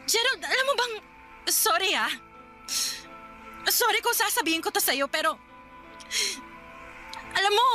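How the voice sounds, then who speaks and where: A woman's voice: very high voice, pushed and bright, full character voice. An actress playing a woman who presses her partner for commitment in a radio drama, pleading and confrontational.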